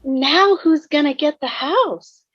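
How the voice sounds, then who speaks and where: A woman playing a dry, deliberate ghost in an amateur table read over a dull video call. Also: sits high. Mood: matter-of-fact.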